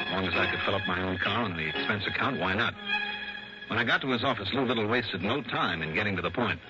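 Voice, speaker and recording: A man's voice: on the low side, natural voice. An actor playing a wry, worldly male insurance investigator in 1960s radio drama, with clipped hard-boiled storytelling. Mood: brisk, dry. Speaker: narrator and lead actor of a detective radio series. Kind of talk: 1960s radio drama acting, first-person narration. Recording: dull vintage radio recording with music.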